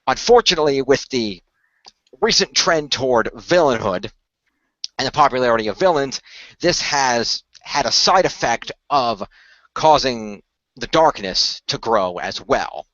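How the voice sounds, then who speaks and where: A man improvising the part of an earnest company insider, explaining things in a measured, hesitant way as a game master. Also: medium pitch, animated, a bit bright, no echo, dull audio. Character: earnest, measured company insider revealing a secret magical order. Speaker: game master playing a character. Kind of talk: improvised in-character exposition for a role-play story.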